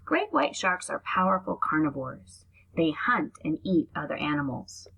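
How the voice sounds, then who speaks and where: A woman's voice: low voice, very animated, normal speed, gravelly, fairly bright tone, breathy edge, rough recording. A woman reading aloud to young children like a teacher, explaining things and adding asides as she goes.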